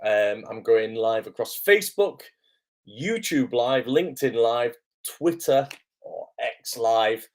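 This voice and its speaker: A man with a hoarse, croaky voice from illness, hosting a casual livestream as an acting coach, greeting viewers and filling pauses with "um". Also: mid-range voice, expressive. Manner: chatty, lots of "um" fillers, greets viewers by name. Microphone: camera microphone.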